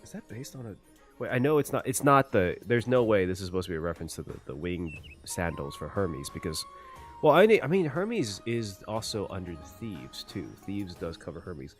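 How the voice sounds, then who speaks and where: A man giving casual, excitable reaction commentary on a game, halting as he thinks aloud. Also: sits low, soft background music.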